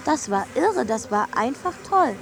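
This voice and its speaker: An actress playing an excitable, self-dramatizing, insistent woman in a German radio play. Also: high-pitched, a little slow, full character voice. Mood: insistent, gushing.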